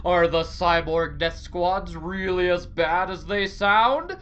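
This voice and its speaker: A woman's voice: fairly low pitch, takes it slowly, a bit bright, muffled recording, a little hiss or hum. A woman narrating a dramatic scripted serial with a theatrical announcer delivery.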